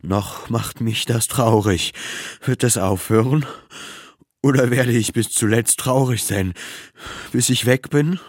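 A man's voice: medium pitch, takes it slowly, no room sound, clean studio sound. An actor playing a depressed, despairing man in a radio play monologue, brooding and self-mocking as he narrates his inner thoughts. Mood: agitated, desperate.

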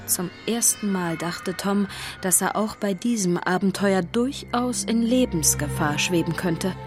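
A woman's voice: mid-range voice, a little slow, over music, natural voice. A woman narrating a children's audio drama as a scripted storyteller.

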